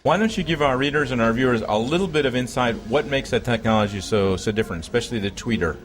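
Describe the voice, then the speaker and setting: A man's voice: medium pitch, expressive, fast. A man working as an interviewer, asking questions in an informal on-location style.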